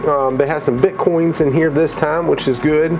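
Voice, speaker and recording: Man with a cold, slightly raspy. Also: on the high side, normal speed, background noise. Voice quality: slightly mumbled from a lozenge. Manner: chatty, excitable at wins. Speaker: vlogger. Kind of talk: casual vlog commentary. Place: arcade by a coin pusher machine.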